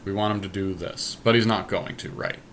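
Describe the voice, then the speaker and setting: A man's voice: deep voice, steady tone, brisk, fairly bright tone. A man giving casual gameplay commentary to a teammate, thinking aloud step by step through each move. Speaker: podcast host playing an online card game. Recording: clear background noise, amateur screen capture.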